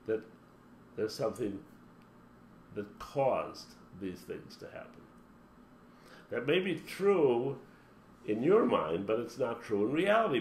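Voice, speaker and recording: A man giving a motivational talk as a spiritual teacher, speaking emphatically and running through long spoken lists. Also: medium pitch. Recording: roomy echo with faint background hum.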